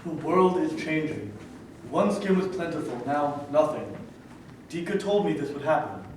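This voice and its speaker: A man's voice: a little high, expressive, moderate pace, slight background noise, natural voice. A man reading a proud caveman king, echoing from afar. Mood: solemn. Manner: stiff, solemn pronouncements. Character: proud stubborn caveman king, self-righteous and menacing. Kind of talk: staged play reading. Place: theater stage. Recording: echoey room, mic far off.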